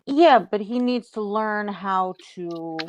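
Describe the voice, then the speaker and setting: A woman's voice: medium pitch, lively intonation, slow pace, darkish tone, a little hiss or hum. A woman in a casual political discussion, speaking bluntly as a panelist.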